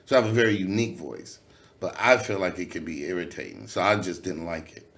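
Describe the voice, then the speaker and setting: A man with a very low voice that still comes out nasal, talking. He speaks loosely and candidly, full of "you know" and casual swearing. It sounds like a relaxed interview chat. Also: quick pace. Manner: loose, candid, swearing freely, many fillers. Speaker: rapper, guest.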